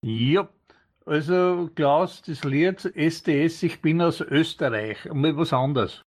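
A man speaking in a live online radio chat, making dry, unhurried remarks. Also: fairly high pitch. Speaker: radio show guest. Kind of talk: casual live broadcast chat.

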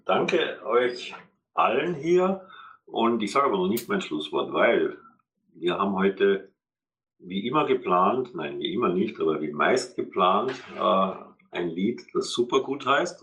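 A man's voice: low voice, very animated, unhurried, a bit bright. A man as host giving rambling closing remarks to an audience, laughing between phrases.

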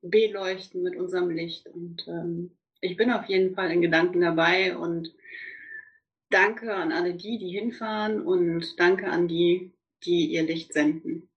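A woman giving a soft, heartfelt spiritual farewell message. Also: lowish voice, steady tone, unhurried. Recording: dull, lo-fi.

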